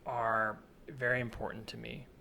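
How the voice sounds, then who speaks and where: Man improvising a sheepish, obsessive rambler, bright voice. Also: medium pitch, flat delivery, moderate pace, creaky. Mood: sheepish, earnest. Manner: rambling, hesitant, earnest. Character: sheepish, obsessive man begging an amateur forensic favour. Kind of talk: improvised comedy scene. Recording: remote recording with faint background noise.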